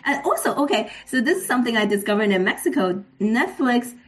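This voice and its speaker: A woman guest on a podcast, speaking in a relaxed, affirming way and laughing easily. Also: fairly high pitch, brisk. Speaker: guest, former Fulbright researcher. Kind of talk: casual conversational interview.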